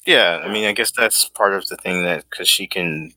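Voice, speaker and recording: A man's voice: deep voice, moderate pace, bright, forceful tone, no room sound, rough recording. A man co-hosting a podcast in casual fan discussion, jokey and often laughing. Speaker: podcast co-host.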